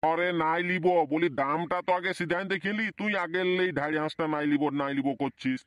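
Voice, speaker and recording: Exasperated cartoon dad voiced by a man, Purulia Bengali accent, blunt, bright-toned and quick. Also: on the high side, no room sound, close to an everyday voice. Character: exasperated village father haggling at market. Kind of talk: comedy cartoon dialogue.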